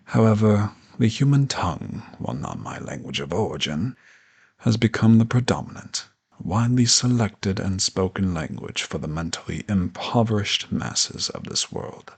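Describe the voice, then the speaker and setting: A man playing a haughty, ancient dragon professor in an audio roleplay, speaking with pompous, condescending, ornate formality in a gravelly, husky voice. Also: low-pitched, big pitch swings, moderate pace, heavily acted. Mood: haughty, mocking. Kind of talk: scripted audio roleplay monologue addressed to the listener.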